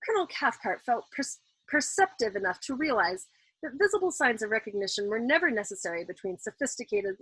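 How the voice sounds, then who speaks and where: A woman reading a novel aloud in an expressive, dramatic audiobook style, as the host of a storytime. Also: medium pitch, slightly rough, no echo, low-quality recording.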